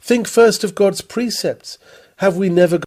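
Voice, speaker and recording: A man reading an old-fashioned sermon aloud in an earnest, rhetorical manner with deliberate phrasing. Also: high-pitched, darkish tone. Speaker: sermon reader.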